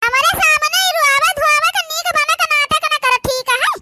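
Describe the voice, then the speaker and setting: A woman's voice: very high voice, normal speed, very noisy. A woman voicing an aggrieved, distrustful orphaned village teenage girl in a cartoon, whiny, with an Awadhi accent. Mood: accusing, angry. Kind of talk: village cartoon comedy-drama acting.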